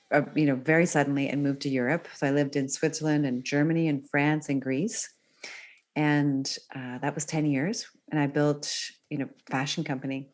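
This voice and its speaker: A woman's voice: low voice, darkish tone. A middle-aged woman from Northern Virginia, chatting enthusiastically as a podcast guest and laughing easily. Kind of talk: casual conversation.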